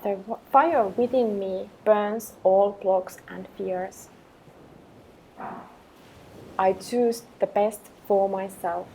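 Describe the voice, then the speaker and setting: A woman leading a guided meditation with affirmations, speaking in a calm, gentle, measured way with long pauses. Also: medium pitch, a little hiss or hum, low-quality recording.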